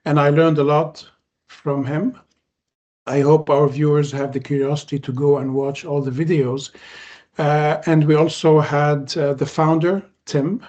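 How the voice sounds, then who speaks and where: A man from Lebanon whose first language is Arabic, hosting a podcast in relaxed conversation. He speaks in measured phrases with frequent "uh" pauses. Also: fairly high pitch, dull audio. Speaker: podcast host.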